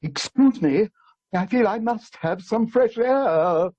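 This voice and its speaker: A man playing a blustering, old-fashioned upper-class major in a radio play, with a husky voice. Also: high voice, expressive, takes it slowly, dark tone, no room sound, lo-fi sound, heavily acted. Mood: flustered. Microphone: video call.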